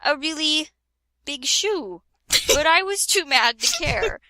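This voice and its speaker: A woman's voice: high voice, animated, slow, sharp tone, no room sound, poor audio. A young woman doing a comedic dramatic reading, giggling and breaking off for asides. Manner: giggly, breaks into laughter mid-sentence; mock-dramatic, interrupts herself with asides. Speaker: reader of a parody fanfic.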